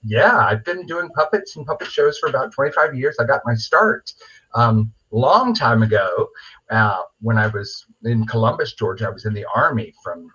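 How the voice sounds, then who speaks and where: Middle-aged male guest, husky, mid-pitched, chatty and enthusiastic, on muffled call audio. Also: expressive, normal speed, bright, forceful tone. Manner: enthusiastic, rambling. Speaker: puppeteer, interview guest. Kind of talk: casual chat. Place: remote video call. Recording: dull, lo-fi video call audio.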